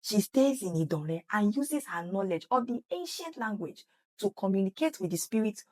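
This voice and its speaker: A woman's voice: medium pitch, big pitch swings, moderate pace, no echo. A woman narrating a scripted story dramatically, emphatic and speaking straight to the listener.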